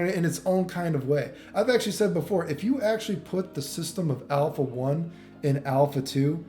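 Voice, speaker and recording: A man's voice: a little high, warm tone, roomy sound. A man giving casual, off-the-cuff game commentary in an opinionated, rambling, sweary way. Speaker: gaming video commentator.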